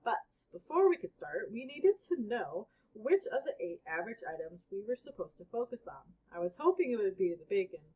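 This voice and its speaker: A woman with a husky voice reading a children's book aloud as a storybook reader. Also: medium pitch, very animated, moderate pace, few pauses, a little mellow. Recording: dull, lo-fi recording.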